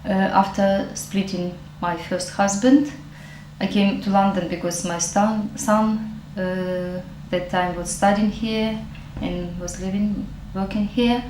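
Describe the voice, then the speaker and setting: Slow-spoken middle-aged woman from Ukraine. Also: mid-range voice, a little mellow, a little hiss or hum. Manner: slow, halting, many fillers. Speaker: network marketing distributor. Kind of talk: personal testimonial. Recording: roomy echo, faint music.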